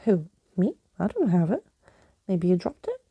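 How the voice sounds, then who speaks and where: A woman's voice: fairly low pitch, huge pitch swings, normal speed, slightly rough, no echo, lo-fi sound, exaggerated voice. A woman voicing a cheeky, defiant young girl heroine who taunts with mock innocence in a storybook. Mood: mock-innocent.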